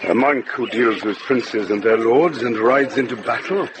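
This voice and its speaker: An actor playing a dignified medieval lord in a radio drama, stern but fair, speaking formally and deliberately. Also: male voice, mid-range voice, natural voice. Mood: grave, measured. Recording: noisy ambience behind dialogue.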